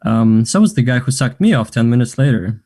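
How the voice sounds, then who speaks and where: Actor playing a confident, mellow, flirty man. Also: medium pitch, lively intonation, fairly quick, lightly acted. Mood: teasing, forward. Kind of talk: table read of a stage play.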